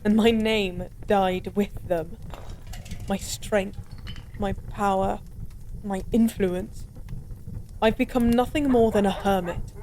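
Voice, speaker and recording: A woman's voice: medium pitch, a little mellow, a little hiss or hum, lightly acted. A woman playing an ancient fallen goddess, drunk, weary and resigned, in an intimate, melancholy audio roleplay monologue. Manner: slow, brooding, melancholy drunken rambling.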